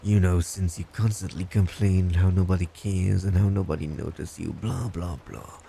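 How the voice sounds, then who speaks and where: A man's voice: low voice, fairly even intonation, normal speed, vocal fry, dark tone, slight background noise. A man playing a blunt, smug, creepy lovestruck stalker in an intimate boyfriend roleplay, condescending and teasing. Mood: smug, taunting. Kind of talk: boyfriend roleplay audio, intimate scripted monologue.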